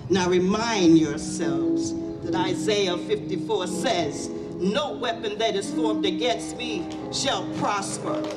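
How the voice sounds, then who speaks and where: Fervent woman leading a rhythmic prayer. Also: a little high. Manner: fervent, rhythmic, repeating calls to God. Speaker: prayer leader at a public gathering. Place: outdoors on city hall steps. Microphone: podium mic on a stand. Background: loud music underneath.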